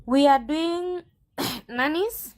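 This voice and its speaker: A woman's voice: high voice, extremely slow, a little mellow. A woman recruitment agent being interviewed, firm and sassy, answering back with her own questions.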